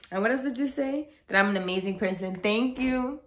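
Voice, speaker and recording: A woman's voice: a little high, brisk. Blunt young woman chatting to camera. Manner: blunt, outspoken, animated with hands. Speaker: vlogger. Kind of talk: casual talk to camera. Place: bedroom, indoors.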